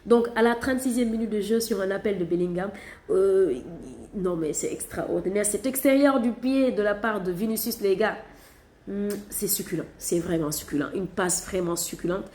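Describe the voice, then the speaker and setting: Catalan woman doing a football recap vlog, keeps repeating herself for emphasis, mid pitch, echoey room. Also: moderate pace, a little hiss or hum. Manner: animated, emphatic, repeats phrases for stress. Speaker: football channel host. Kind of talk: casual football match review.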